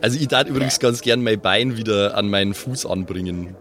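A man's voice: fairly low pitch, a little fast, a bit bright. A man with a southern German or Austrian accent, chatting casually as a player in an improvised role-playing game.

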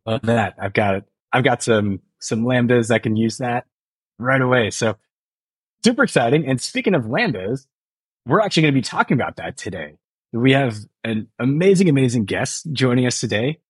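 A man's voice: medium pitch, expressive, normal speed, breathy edge, no room sound. A man hosting a tech show, upbeat and enthusiastic as he welcomes a guest. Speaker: host.

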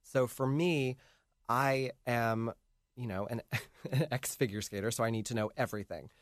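Male podcast host, gabby and giggly, mid pitch, dry close mic. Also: fairly even intonation, normal speed, no room sound. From North Jersey. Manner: chatty, laughs often, many fillers. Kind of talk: casual chat.

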